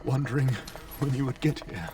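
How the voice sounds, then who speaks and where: A man's voice: mid-range voice, animated, fairly quick, close to an everyday voice. A man playing a badly wounded noble lord in a fantasy game, weakened and straining to speak. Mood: pained. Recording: distant game audio under music.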